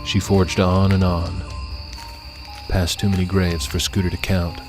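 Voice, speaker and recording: A man reading scripted fiction as an audiobook narrator, in a measured, suspenseful storytelling manner. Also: sits low, little pitch movement, music in the background.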